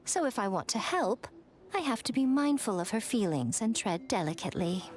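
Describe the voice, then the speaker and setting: Sly, lilting fox priestess. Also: female voice, fairly high pitch, sing-song, moderate pace. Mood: coy, composed. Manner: poised, arch, playfully formal. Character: sly, elegant, teasing adult fox shrine priestess. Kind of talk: video game voice acting. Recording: faint game music behind.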